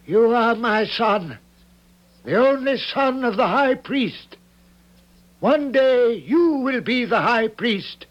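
A rigid high priest with a strikingly high voice, as an actor plays him. He commands and intones the law slowly and deliberately, like a zealot reciting ritual in a radio drama. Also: lively intonation, muffled recording, exaggerated voice. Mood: stern.

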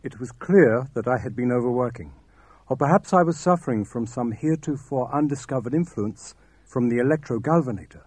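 A man's voice: medium pitch, lively intonation, brisk, a little mellow, husky, dry recording. A man narrating and playing a pompous Victorian doctor in a comic radio play. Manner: pompous, theatrical. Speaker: narrator and lead actor.

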